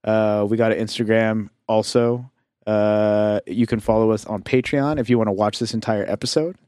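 A man's voice: lowish voice, steady tone, normal speed, darkish tone, clear, resonant tone, dry recording, studio quality. A young man co-hosting a casual podcast, loose and joking, laughing often. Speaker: podcast co-host. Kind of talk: casual chat.

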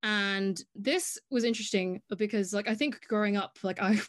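A woman's voice: mid-range voice, normal speed. A young woman from Hong Kong with an English accent, a podcast guest in casual chat who laughs often and fills her talk with "like". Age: young adult. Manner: chatty, laughs often, many "like" fillers.

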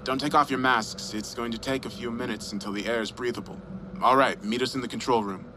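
A man voicing a steady, commanding expedition crew leader with terse delivery in a horror story. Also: medium pitch, a little fast, lightly acted. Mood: firm. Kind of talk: horror story character dialogue. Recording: clearly noisy background.

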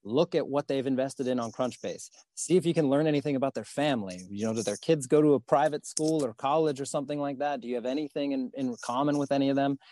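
A man speaking as a podcast guest about his career and fundraising, pausing often to restart his sentences. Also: mid-range voice, mellow, dry recording. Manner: halting, many fillers and restarts. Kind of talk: podcast interview.

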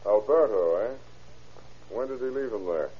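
A man's voice: on the low side, animated, normal speed, husky. An actor playing a shrewd, dryly persistent American lawman who questions suspects in an old-time radio crime drama. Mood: pointed. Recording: muffled vintage radio recording.

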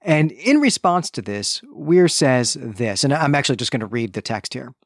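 A man co-hosting a book recap podcast, explaining the story in a measured, explanatory way with emphasis on key points. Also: mid-range voice, very animated, no echo, clean studio sound. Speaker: podcast co-host.

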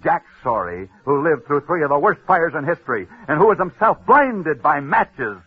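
A man's voice: on the high side, sing-song, breathy edge. Dramatic 1940s radio sports host, man. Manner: dramatic, punchy delivery with sudden pauses. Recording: tinny old radio broadcast.

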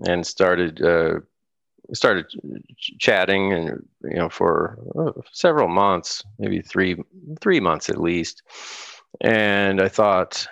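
Casting: middle-aged American man, deep gravelly voice, dry close sound, loose storytelling guest. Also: a bit bright, no room sound. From United States. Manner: rambling, laughs often, many restarts. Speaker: guest musician. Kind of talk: casual interview chat.

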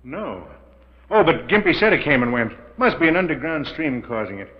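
Radio drama husband: hearty, trusting, excitable treasure hunter, slightly high voice, muffled old recording. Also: male voice, normal speed. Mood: eager. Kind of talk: 1940s radio thriller acting. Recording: dull vintage 1940s radio, long reverb.

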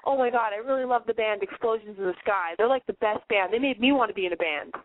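A woman's voice: fairly high pitch, fast, dry recording. A young woman with a cold hosting a call-in radio show, talking in a nasal valley-girl way, blunt and profane. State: has a cold. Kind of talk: casual call-in radio chat. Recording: tinny phone-line sound.

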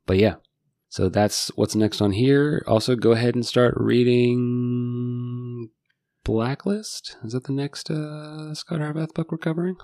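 A man's voice: medium pitch, a little slow, no room sound, studio quality. A man co-hosting a thriller book podcast, wrapping up the episode and reading out thanks to listeners. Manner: steady, reads out thanks and plugs. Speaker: podcast co-host. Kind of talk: podcast outro and sign-off.

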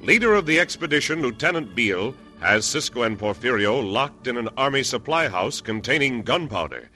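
A man's voice: mid-range voice, big pitch swings, brisk, pushed and bright, soft background music. A man with an American accent narrating an old-time radio serial as its announcer, in a dramatic scripted style.

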